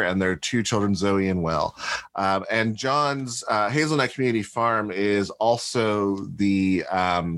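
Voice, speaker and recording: A man's voice: fairly low pitch, fairly bright tone, no echo. A man co-hosting a podcast, introducing a guest and asking questions with many ums and uhs. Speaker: podcast co-host. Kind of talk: casual interview hosting.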